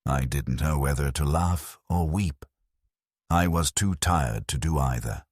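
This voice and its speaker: A man reading a scripted first-person story as a narrator, in a somber, measured way. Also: a bass voice, no echo, clean studio sound.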